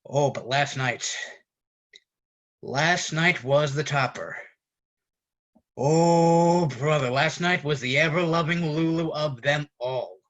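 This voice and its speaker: A man playing an exasperated roommate ranting at his fussy friend in a deliberate theatrical monologue with dramatic pauses. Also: a little high, fairly bright tone, lo-fi sound. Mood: berserk, sarcastic. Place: online video call performance.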